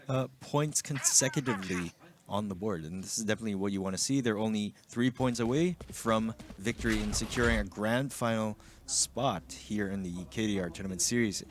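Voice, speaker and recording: A man's voice: on the low side, brisk. A man with a Filipino accent giving live analysis as an esports caster, switching between two languages. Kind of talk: live game analysis commentary. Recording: noisy audio with clicks.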